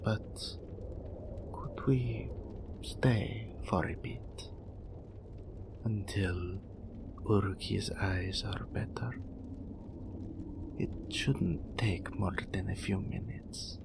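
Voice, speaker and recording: A serpentine male oracle acted by a man speaks in a deep, gravelly half-whisper. He takes his time over each phrase, proud and mystical, with a tender, teasing streak. It has the close, intimate feel of a roleplay audio drama. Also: steady tone, full character voice. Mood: weary. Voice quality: breathy, whispery, gravelly low voice. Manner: speaks of himself in third person, slow and deliberate.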